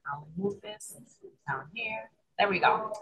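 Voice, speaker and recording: A woman's voice: mid-range voice, expressive, takes it slowly, breathy edge, dull audio. A young woman with a Puerto Rican Spanish accent, a chiropractor giving an online lecture over a video call, explaining with frequent ums and restarts. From Puerto Rico. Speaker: chiropractor presenter.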